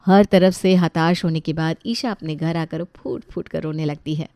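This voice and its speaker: A woman's voice: low voice, fairly even intonation, moderate pace, dark tone, no room sound, studio quality. A woman telling a scripted family moral story as its narrator.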